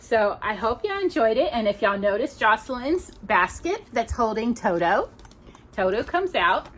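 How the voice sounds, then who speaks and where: A woman telling a children's story in an expressive, playful way, with casual asides. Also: fairly high pitch, normal speed.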